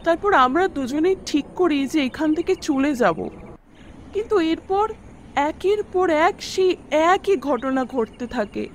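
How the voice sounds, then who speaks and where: A woman's voice: high-pitched, expressive, takes it slowly, slight background noise. A woman playing an anxious mother in an audio drama, recounting eerie events in an earnest, dramatic way. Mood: anxious, disturbed. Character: worried mother recounting eerie deaths around her son. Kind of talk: Bengali audio story acting.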